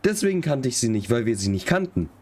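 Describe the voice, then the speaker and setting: A man's voice: medium pitch, sing-song, fast, a little mellow, slight background noise. A man casually commentating on a live game stream, sounding slightly under the weather. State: slightly sick.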